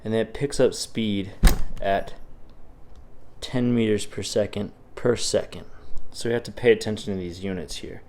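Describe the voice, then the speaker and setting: A man's voice: lowish voice, steady tone, normal speed, darkish tone. A man, sick and a bit sniffly, teaching in a casual, encouraging way as he walks through practice problems in a video lecture. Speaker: physics teacher.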